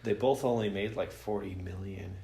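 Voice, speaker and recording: A man's voice: fairly low pitch, steady tone, moderate pace, creaky, crowd noise. A man co-hosting a podcast, chatting informally and slangily with friends. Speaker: podcast co-host. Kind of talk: casual movie discussion among friends.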